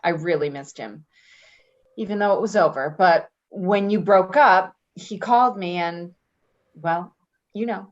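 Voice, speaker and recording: Actress as breezy, slow-talking, lowish-voiced friend. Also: female voice, close to an everyday voice. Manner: offhand, giggly, hedging. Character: breezy, evasive, self-serving female friend. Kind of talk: play reading, casual dramatic dialogue. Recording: dull lo-fi recording.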